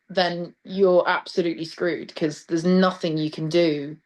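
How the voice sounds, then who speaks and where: A woman's voice: on the low side, darkish tone. A woman talking enthusiastically and emphatically about her craft as a theatre director being interviewed.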